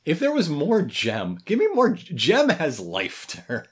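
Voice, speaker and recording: A podcast co-host in their thirties chatting casually in a review, often pausing mid-thought. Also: medium pitch, wildly swooping pitch, husky. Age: 30s. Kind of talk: casual review chat about a cartoon.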